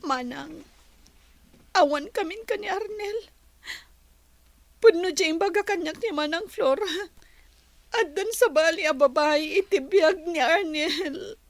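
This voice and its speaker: A woman's voice: very high-pitched, unhurried, pauses often, no echo. A woman playing a heartbroken young woman in an Ilocano radio drama, speaking plaintively with a Filipino accent. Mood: sad, subdued. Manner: plaintive, emotional. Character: heartbroken young woman pleading with her boyfriend.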